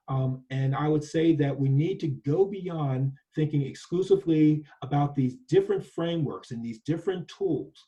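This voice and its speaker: A man's voice: mid-range voice, mellow, poor audio. A man giving a prevention talk with slides, earnest and emphatic, checking in with "right?" and restarting phrases. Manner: presents data, restarts phrases. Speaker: prevention trainer presenting data. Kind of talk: presentation with slides.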